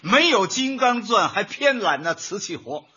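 A man's voice: high voice, sing-song, a little slow, sharp tone, husky, heavily acted. A male actor playing a fussy, pedantic, nagging husband in a comic radio drama, scolding in a bookish way. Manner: scolding, bookish, uses proverbs. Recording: dull lo-fi audio.

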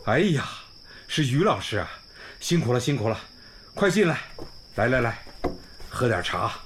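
Man acting an expressive, kindly old village principal. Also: medium pitch, moderate pace, background noise, lightly acted. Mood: warm, welcoming. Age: older, around sixties. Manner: effusive, homely, sighing and exclaiming. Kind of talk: radio drama acting. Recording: music bed under the voice.

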